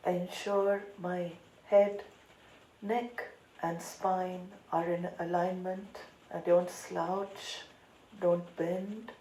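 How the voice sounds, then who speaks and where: Woman, guided meditation, mellow tone, calm and deliberate, long pauses, echoey room with faint noise. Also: fairly low pitch. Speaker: meditation teacher. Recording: roomy echo, light background noise.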